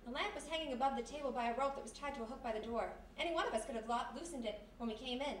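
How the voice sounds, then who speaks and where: A woman with an American accent playing a sassy, quick-witted girl Friday in a radio mystery, brash and slangy. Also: high voice, natural voice. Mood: shrewd. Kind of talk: live 1940s-style radio mystery acting. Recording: noisy echoey hall, distant stage mic.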